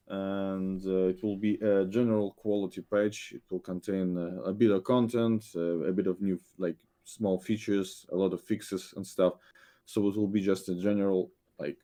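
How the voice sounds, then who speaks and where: A man, a game director speaking haltingly on a video call, with many fillers and restarts. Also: low voice, mellow. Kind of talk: podcast guest update, halting.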